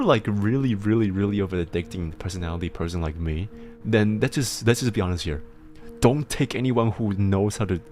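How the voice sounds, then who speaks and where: A young man with a fairly low voice talks through advice in a loose, rambling way. He giggles often and restarts his sentences as he goes. Soft music plays faintly behind him. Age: young adult. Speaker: host giving advice on submitted struggles. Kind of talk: casual livestream advice chat.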